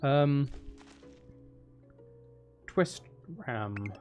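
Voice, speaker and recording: A man's voice: mid-range voice, extremely slow, darkish tone, faint music behind. A man streaming a video game, thinking aloud in a casual, playful running commentary. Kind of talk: casual live gameplay commentary.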